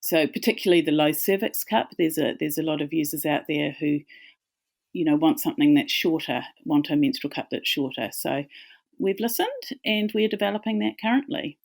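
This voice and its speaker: A woman's voice: low-pitched, brisk, vocal fry. A woman with a New Zealand accent, a company founder talking as a guest in a casual, friendly interview, with small restarts.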